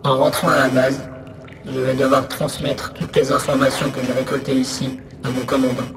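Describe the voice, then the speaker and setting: A man playing a small, methodical exploration robot in a sci-fi audio drama, speaking in a measured, matter-of-fact way. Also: mid-range voice, little pitch movement, heavy background noise, natural voice. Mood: determined. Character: small exploration robot, methodical, dutiful scout reporting findings. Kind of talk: scripted sci-fi audio fiction monologue.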